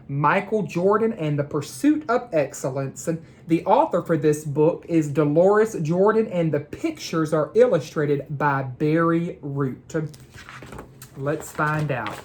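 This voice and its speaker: A woman educator hosts a storybook read-aloud. Her voice sits a little low for a woman, and she takes her time, setting out each phrase in a deliberate, measured way. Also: lively intonation, a little mellow. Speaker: educator reading a nightly children's read-aloud.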